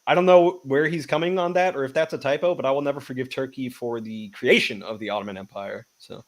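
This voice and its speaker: A man's voice: medium pitch, fast talker. A man co-hosting a casual chat, telling rambling stories with profanity and stumbles. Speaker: co-host.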